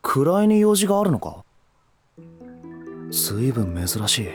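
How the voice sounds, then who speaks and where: An actor playing a guarded, proud young heir in a Japanese voice drama, with a curt, blunt, defensive way of talking. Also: male voice, medium pitch, wildly swooping pitch, slow pace, slightly rough, faint music behind, heavily acted. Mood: wary, puzzled.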